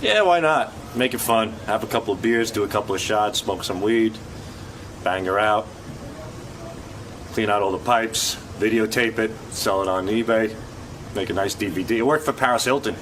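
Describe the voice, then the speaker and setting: A man's voice: on the low side, normal speed, fairly bright tone, a little hiss or hum, poor audio. Crude, blunt man riffing casually.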